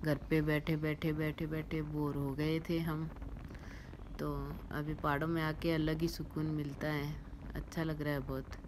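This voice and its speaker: A woman's voice: low-pitched, flat delivery, measured pace, noisy background. A woman in her thirties chatting cheerfully to viewers in a casual travel vlog, filming with a phone at arm's length outdoors. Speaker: vlogger. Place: outdoors on a hill road. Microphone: phone held at arm's length.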